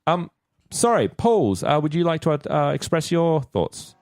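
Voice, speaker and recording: A man's voice: on the high side, sing-song, a little slow, a little mellow, dry recording, clean studio sound. A man hosting a podcast in casual fan chat. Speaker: podcast host.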